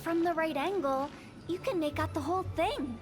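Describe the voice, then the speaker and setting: A woman's voice: very high-pitched, moderate pace, over loud music. A woman voicing an eccentric, playful young female funeral parlor director in a video game, teasing and theatrical. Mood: breezy, guiding.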